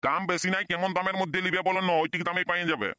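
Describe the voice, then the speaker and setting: A man's voice: high-pitched, fairly even intonation, rapid-fire, a crowd in the background, close to an everyday voice. A man voicing a pushy old goat seller in a comedy cartoon, with a Purulia Bengali accent and repetitive hawker's patter.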